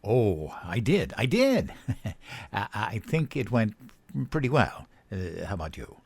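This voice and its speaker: An actor playing a cheerful, dim-witted American president in a comedic audio drama, naive, hesitant and easily puzzled. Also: male voice, lowish voice, wildly swooping pitch, takes it slowly, vocal fry, slightly rough, no room sound, full character voice. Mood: sheepish, puzzled.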